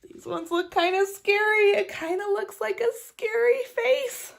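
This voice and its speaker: A woman reading a picture book aloud as a teacher, expressive and putting questions directly to young listeners. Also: very high-pitched, unhurried, gravelly. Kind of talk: animated children's read-aloud with questions.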